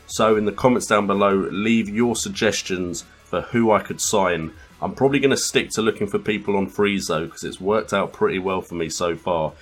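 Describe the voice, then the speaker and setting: A man's voice: fairly low pitch, fairly bright tone. A man hosting a gaming channel, giving casual let's play commentary.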